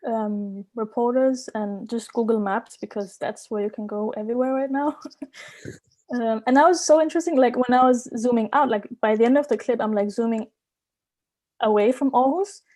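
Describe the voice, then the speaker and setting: A woman's voice: fairly high pitch, normal speed, mellow. A woman chatting casually on a video call, using slang and peppering her talk with "like". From Denmark. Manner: youthful slang, frequent "like". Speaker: guest artist. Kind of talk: casual chat.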